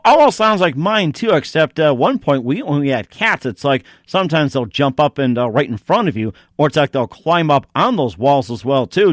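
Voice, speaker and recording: A man hosting a casual interview, asking meandering, halting questions from a small padded home recording space. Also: on the high side, dry recording, studio quality. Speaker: talk show host, interviewer.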